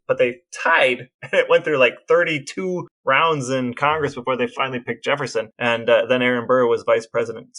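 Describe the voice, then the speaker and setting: A man co-hosting a podcast, telling historical anecdotes in a casual, animated storytelling manner. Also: mid-range voice, rough recording. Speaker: podcast co-host.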